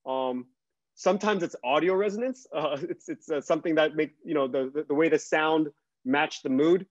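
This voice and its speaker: Higher-pitched middle-aged man, dull call audio. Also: moderate pace, dry recording. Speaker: guest writer and podcaster. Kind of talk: conversational panel discussion. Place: small bright home room. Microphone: video call, earbuds.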